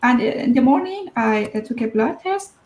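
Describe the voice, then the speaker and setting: A woman's voice: on the high side, lively intonation, normal speed, a little mellow, echoey room. A woman, telling her personal story as an interview guest, hesitant and full of fillers. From Iran.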